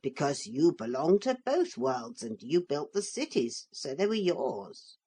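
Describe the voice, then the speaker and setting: A woman's voice: deep voice, very animated, a little slow, mellow, slightly rough, heavily acted. A woman reading a storybook, voicing a small wooden figure come to life who explains things patiently and plainly. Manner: patient, matter-of-fact explaining. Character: calm, wise little wooden man come alive.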